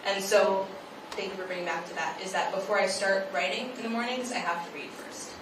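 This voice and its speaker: A woman speaking as the guest author at a live question-and-answer event, thinking aloud in a halting way with plenty of hedges. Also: medium pitch, steady tone. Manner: thoughtful, halting, hedging with frequent "like". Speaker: guest author at a book talk. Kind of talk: unscripted audience question-and-answer talk. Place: live event venue, picked up from afar. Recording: distant, very echoey, noisy live event recording.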